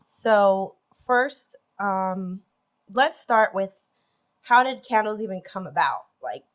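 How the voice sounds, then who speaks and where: A woman's voice: medium pitch, lively intonation, takes it slowly. A young woman hosting a casual chat about church practice, asking questions and laughing often. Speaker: podcast host. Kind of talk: casual religious discussion.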